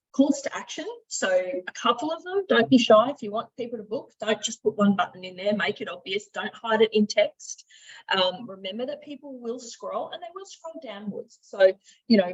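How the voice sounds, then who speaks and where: A woman trainer walking through software in an informal, instructive, conversational way, often thinking aloud. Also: medium pitch, slightly rough. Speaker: software trainer, marketing and sales director. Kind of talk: informal software tutorial with screen demonstration. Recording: dull, muffled lo-fi audio.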